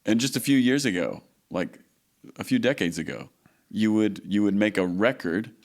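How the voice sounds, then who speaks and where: Low-voiced man hosting a podcast interview. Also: moderate pace. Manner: friendly questions, affirming interjections. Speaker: podcast host. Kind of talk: casual interview chat.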